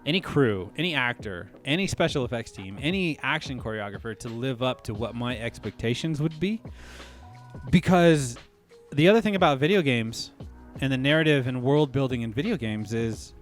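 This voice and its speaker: A man's voice: medium pitch, animated, normal speed, soft background music. Man musing aloud on a podcast. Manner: thinks aloud, frequent restarts and "you know what I mean". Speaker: podcast co-host. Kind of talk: casual podcast discussion.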